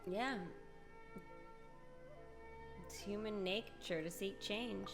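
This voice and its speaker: A woman's voice: medium pitch, extremely slow, gravelly. A woman streaming a game, giving short, offhand, casual commentary in a giggly manner. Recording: game music behind the voice.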